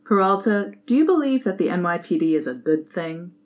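A woman playing a stern male police captain in a formal, deliberate, deadpan way. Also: lowish voice, natural voice.

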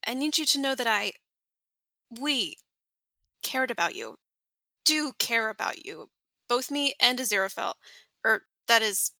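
A woman's voice: fairly high pitch, expressive, pushed and bright, dry recording, pitched above the performer's own voice, heavily acted. A woman reading an audiobook, voicing a nervous, fond male demon who halts and corrects himself as he talks. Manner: halting, fumbling, self-correcting. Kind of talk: fan audiobook character voice.

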